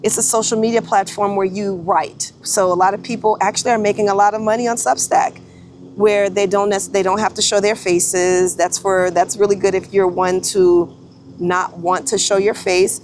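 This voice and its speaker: A woman's voice: mid-range voice, steady tone, moderate pace, a little mellow, slight background noise. A woman in her forties, a photographer vlogging in a casual, chatty way, often correcting herself and restarting sentences. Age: 40s. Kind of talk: casual talking-head vlog.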